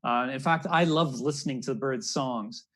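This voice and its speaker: A man's voice: medium pitch, moderate pace, a bit bright. A man, giving an educational talk for children as a naturalist over a video call. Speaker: naturalist, storyteller. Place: room at home, indoors. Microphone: wired earbuds on a video call.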